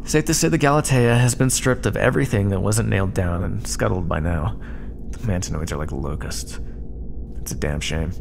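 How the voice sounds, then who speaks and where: A man's voice: fairly low pitch, moderate pace. A man playing a sardonic, battered starship first officer dictating a log in an audio roleplay, wry and exasperated, with muttered asides. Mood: weary, dry. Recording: clear background noise under the voice.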